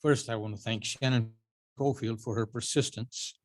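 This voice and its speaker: Man in his 70s from uganda giving an award speech, measured storytelling, dry self-deprecating jokes. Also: medium pitch, steady tone. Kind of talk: prepared acceptance speech.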